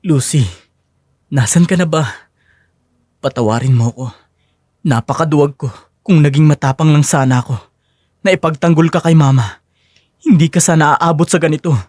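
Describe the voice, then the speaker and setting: A woman's voice: sits low, normal speed, pauses often, a little mellow, no room sound, studio quality. A woman voicing an earnest, guilt-ridden husband and son in a dramatized audio story, pleading in manner. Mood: remorseful.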